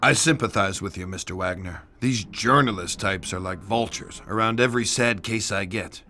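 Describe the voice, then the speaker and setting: An actor playing a world-weary, suspicious police detective in a video game, speaking in a measured, grave and deliberate way. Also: male voice, fairly low pitch, sharp tone, soft background music. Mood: wry, pressured. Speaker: detective character and narrator. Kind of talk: dramatic noir-style narration and dialogue.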